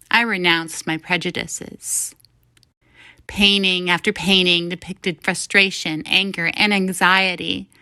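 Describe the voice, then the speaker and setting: A woman's voice: medium pitch, pushed and bright, clean tone, no echo, clean studio sound. A woman reading a first-person short story as scripted narration. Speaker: story reader.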